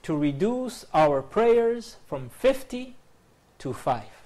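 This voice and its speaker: A man's voice: high-pitched, big pitch swings, slow, warm tone. A man in his thirties hosting a TV quiz show from a studio, upbeat and formal as he greets teams and reads out clues. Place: TV studio set.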